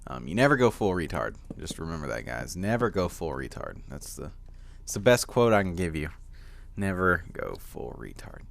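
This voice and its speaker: Deep-voiced teen guy rambling over gameplay. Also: very animated, normal speed, vocal fry. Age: teens. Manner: rambling, filler-heavy, laughs often. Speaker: gaming commentator. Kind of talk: casual gameplay commentary.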